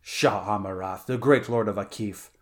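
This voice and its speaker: A man's voice: on the low side, normal speed, close to an everyday voice. A man voicing a powerful, vengeful barbarian swordsman in a dramatic story reading, in a ranting, cursing manner. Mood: furious, gloating. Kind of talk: dramatic audiobook character reading.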